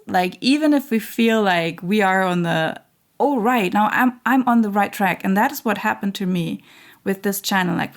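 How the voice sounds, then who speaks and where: Woman, hoarse, reflective spiritual monologue, hesitant, unhurried, gravelly. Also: medium pitch. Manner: slow, searching, many fillers and restarts. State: losing her voice. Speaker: spiritual channel host.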